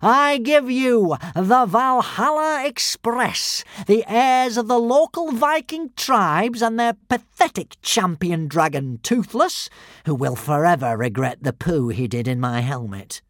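A man's voice: medium pitch, normal speed, hardly any pauses, a little mellow, dry recording, clean studio sound, lightly acted. A man voicing a treacherous, scheming villain who makes grandiose, sneering proclamations in a storybook reading. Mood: sneering grand.

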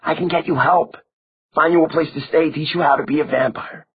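A man's voice: on the high side, steady tone, fairly quick, husky, phone-quality sound, pitched above the performer's own voice. A man reading an audiobook who voices a frightened, earnest teenage vampire boy, anxious and stammering. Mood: pleading.

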